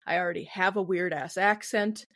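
A woman's voice: on the low side, steady tone, normal speed, a bit bright, no echo, clean studio sound. A woman with a Midwestern American accent, co-hosting a podcast with casual, comedic storytelling in a giggly, playful manner. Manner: giggly, playful, acts out quotes. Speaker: podcast co-host.

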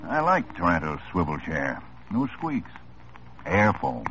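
A dry sarcastic police lieutenant. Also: male voice, low voice, wildly swooping pitch, a little slow, fairly bright tone, a little hiss or hum, exaggerated voice. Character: tough wry male police lieutenant. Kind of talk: 1950s radio drama acting. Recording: dull old radio broadcast with music.

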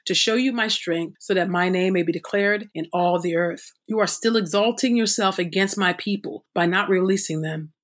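A woman reading scripture aloud at a measured, steady pace. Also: mid-range voice. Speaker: scripture reader.

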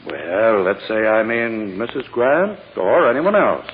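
A man's voice: lowish voice, big pitch swings, slow pace, slight background noise. Pompous male prosecutor, condescending, coaxing, swooping intonation, old muffled radio drama recording. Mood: coaxing, insistent. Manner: coaxing, condescending, formal courtroom address. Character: pompous, impatient male prosecutor questioning a child witness. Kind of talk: 1940s radio drama acting.

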